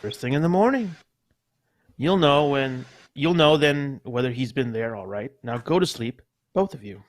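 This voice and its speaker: A man playing a soft-spoken mother tucking her children into bed in a live old-time radio play reading. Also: medium pitch, expressive, normal speed, no room sound. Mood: soothing. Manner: soft, soothing bedtime delivery.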